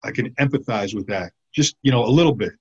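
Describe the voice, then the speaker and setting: Husky man sharing, dull recording. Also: mid-range voice, animated, quick pace, darkish tone. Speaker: church group participant. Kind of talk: casual personal sharing. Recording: dull, lo-fi sound.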